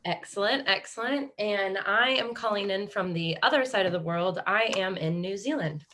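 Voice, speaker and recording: A woman's voice: mid-range voice, moderate pace, a bit bright. A woman from Kansas with an American Midwest accent, hosting a live online panel over a video call in an upbeat, encouraging way. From Kansas, USA. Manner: upbeat and encouraging, with frequent fillers and restarts. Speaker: webinar host.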